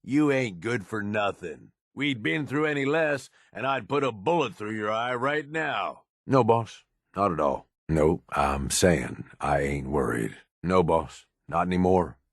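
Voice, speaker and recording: Jaded middle-aged American raider for a game: deep, raspy, gruff and blunt, recorded close and dry. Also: male voice, no room sound, full character voice. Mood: angry, scornful, then earnest. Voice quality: husky, gravelly low voice. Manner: gruff, blunt, folksy tough talk. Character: jaded, foul-mouthed middle-aged male raider right-hand man. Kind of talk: video game companion dialogue.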